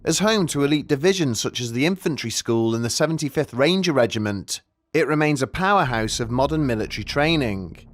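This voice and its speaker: A man reading scripted mystery documentary narration in a measured, deliberate way with dramatic pauses. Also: mid-range voice, lively intonation, dry recording, studio quality. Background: background music.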